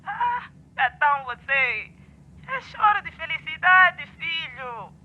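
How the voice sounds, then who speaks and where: A doting, worried Mozambican mother in a radio play, heard over a muffled phone line. She speaks slowly in a high voice, fussing and exclaiming with great affection. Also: very animated, bright, forceful tone, heavily acted. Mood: tender. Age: middle-aged. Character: doting, worried mother missing her grown son. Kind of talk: radio drama acting. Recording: muffled phone call with faint noise.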